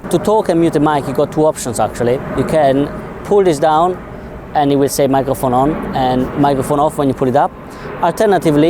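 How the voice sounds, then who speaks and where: A man's voice: mid-range voice, noisy background. A man giving a casual, informal technical explanation as a co-reviewer of film gear.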